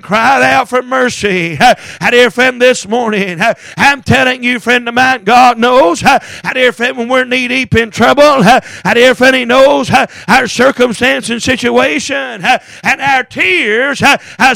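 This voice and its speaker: Man, fervent preacher, extremely high pitch, strained creaky voice, chanted sermon phrases, gasped punctuation between lines. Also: pushed and bright, no echo. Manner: punctuates phrases with gasped "huh" and repeated "dear friend".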